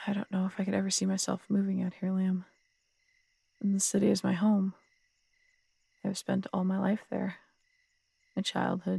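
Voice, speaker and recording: A woman playing a tough, strong-headed city detective in an intimate audio roleplay, husky and gravelly, telling things in a wry, unhurried, conversational way. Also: mid-range voice, darkish tone, no echo. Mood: wistful, relaxed. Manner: wry, unhurried storytelling with casual swearing.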